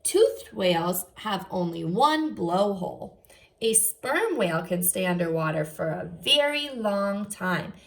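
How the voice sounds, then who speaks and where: A woman's voice: mid-range voice, big pitch swings, unhurried, creaky. A woman teacher reading aloud to young children, enunciating carefully and adding short explanatory asides. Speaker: first grade teacher.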